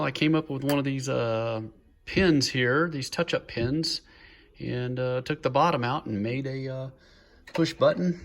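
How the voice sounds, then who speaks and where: A man's voice: mid-range voice, a bit bright. Chatty man giving a casual project walkthrough. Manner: chatty, informal, lightly joking. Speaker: hobbyist builder presenting his project. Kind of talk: casual show-and-tell walkthrough. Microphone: handheld phone.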